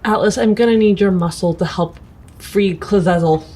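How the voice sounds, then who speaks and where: A woman's voice: mid-range voice, moderate pace, dark tone, slightly rough, poor audio. A woman playing a tabletop game, chatting casually in character. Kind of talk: casual in-character roleplay chat.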